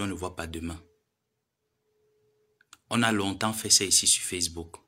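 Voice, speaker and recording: Man, 30s, bright edgy voice, preachy live-stream lecturer who pleads and scolds. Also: low voice. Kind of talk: admonishing social media live monologue.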